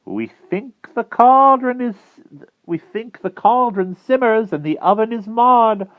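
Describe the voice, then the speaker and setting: A man's voice: very high-pitched, sing-song, takes it slowly, dry recording, narrow, tinny audio, voice pushed up, full character voice. A man voicing a cruel storybook witch, an older woman who gloats and coos with theatrical menace. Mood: cruel glee. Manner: theatrical cooing, gleefully menacing.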